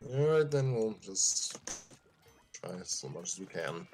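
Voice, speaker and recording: A man's voice: low voice, big pitch swings, slow, darkish tone, soft background music. A man hosting a casual group podcast, chatting and reading fan fiction aloud.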